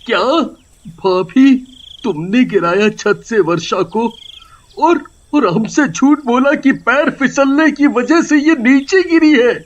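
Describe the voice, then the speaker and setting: A man playing an earnest, dismayed young husband in an animated horror story, urgent and dramatic. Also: very high-pitched, slow. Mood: shocked, indignant. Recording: slightly dull, muffled recording.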